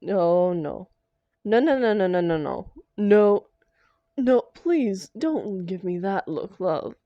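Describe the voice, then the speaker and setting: A woman's voice: medium pitch, lively intonation, slow, no echo, dull audio. A woman playing a theatrical female supervillain and doting, grumbling lover in a scripted audio roleplay. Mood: pleading, indignant. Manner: theatrical, affectionate pet names, exasperated protests.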